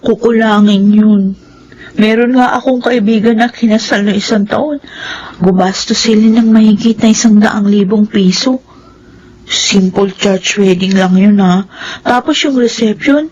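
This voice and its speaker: A woman's voice: medium pitch, fairly even intonation, unhurried, a little mellow, slightly rough. A woman playing a worried young bride-to-be, pleading and fretful, in a radio drama. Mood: concerned. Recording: dull lo-fi radio drama recording.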